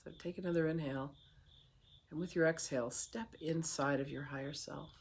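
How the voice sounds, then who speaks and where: A meditation guide speaking in a soothing, unhurried way with long pauses, leading a guided meditation. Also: medium pitch, fairly even intonation, slightly rough.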